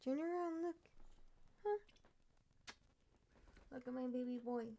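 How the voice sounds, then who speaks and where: A woman in her thirties chatting casually on a stream, rambling with frequent laughs and asides, sometimes dropping to a soft murmur. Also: high-pitched, slow, gravelly, lo-fi sound. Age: 30s. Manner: drops to a soft murmur when talking to her pet. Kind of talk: casual stream chat reacting to a true crime case.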